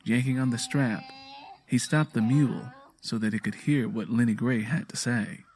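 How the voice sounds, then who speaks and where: A man's voice: mid-range voice, moderate pace, darkish tone. A man narrating a scripted audio drama in a storytelling style.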